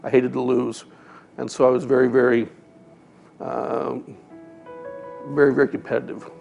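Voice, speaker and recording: A man's voice: medium pitch, flat delivery, moderate pace, vocal fry, darkish tone, lo-fi sound, soft background music. A man from Utah recalling his past in an interview, hesitant and repeating words. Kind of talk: reflective interview testimony.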